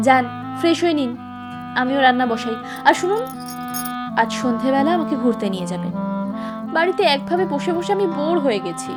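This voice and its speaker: Sincere, bossy young heroine for animated romance; high voice, music behind. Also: female voice, brisk, long pauses. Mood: playfully bossy. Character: young woman guest, sincere, playfully bossy. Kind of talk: animated love story dialogue. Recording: studio voice under loud background music. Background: music, guitar.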